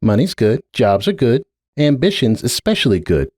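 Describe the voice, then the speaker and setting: A man's voice: mid-range voice, very animated, moderate pace, darkish tone, no room sound, studio quality, voice pushed up. A man voicing a guarded, brooding middle-aged pony mare, a blunt aunt with a terse, world-weary way of talking, in a dramatic story reading. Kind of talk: dramatic audiobook character reading.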